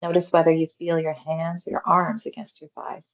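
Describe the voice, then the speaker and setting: Casting: woman, low creaky voice, meditation guide, gentle and reassuring, unhurried phrasing, slightly muffled recording. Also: flat delivery. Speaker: mindfulness exercise guide. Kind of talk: guided meditation, body scan instruction.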